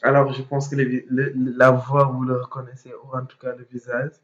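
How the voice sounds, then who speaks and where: A man talks students through procedures, his voice stuffy from a cold. He speaks in a halting way, with lots of fillers and restarts. The recording is dull and lo-fi, with a roomy echo. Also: medium pitch, monotone. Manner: hesitant, many fillers and repetitions. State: has a cold, congested voice. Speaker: student mentor. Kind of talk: informal explanatory video.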